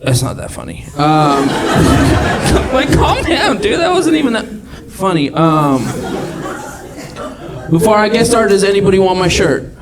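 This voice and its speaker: Male club comic, awkward and self-deprecating crowd-worker, a little high-voiced, with a big audience behind him. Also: very animated, measured pace. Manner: awkward, self-mocking, teasing the crowd, lots of swearing. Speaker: stand-up comedian. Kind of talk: stand-up set with crowd work. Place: comedy club stage. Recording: live club set with loud crowd. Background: audience laughter.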